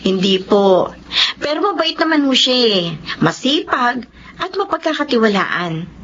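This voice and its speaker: Young radio drama wife, highish voice, reassuring and trusting, muffled with light hiss. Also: female voice, very animated, measured pace, a bit bright, full character voice. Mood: earnest, reassuring.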